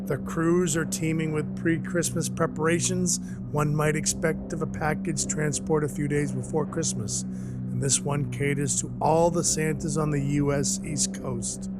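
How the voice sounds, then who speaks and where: A man playing a gruff, soft-hearted dwarf who works as Santa's helper, narrating his own story in an audio play in a wry, hard-boiled way. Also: on the high side, level tone, a little slow. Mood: steady, resolved. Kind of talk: first-person audio play narration and dialogue. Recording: music under the voice. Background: music.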